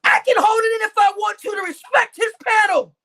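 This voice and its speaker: A woman livestreamer, crying as she rants at her chat, shouting with heavy swearing and repeating her outbursts. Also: very high voice, brisk. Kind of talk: angry livestream rant to chat.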